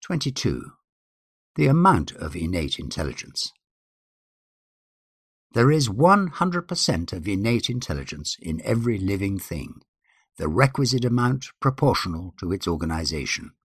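Male reader, husky and very expressive in pitch, formal scripted delivery, close dry sound. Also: normal speed, dry recording. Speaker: guest reader. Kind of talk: formal scripted reading of numbered principles.

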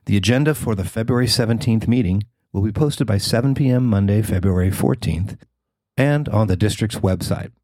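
A man's voice: lowish voice, brisk, mellow, no room sound. A man reading scripted news narration as a podcast host and reporter.

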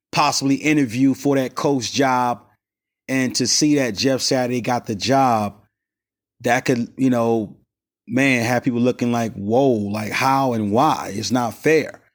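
A man hosts his sports talk show with a raspy, worn voice as he gets over a stomach bug. He talks loosely and rambles, full of fillers and restarts, at an ordinary middle pitch. He is recording on a cell phone rather than in a studio. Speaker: sports podcast host. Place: not in the studio.

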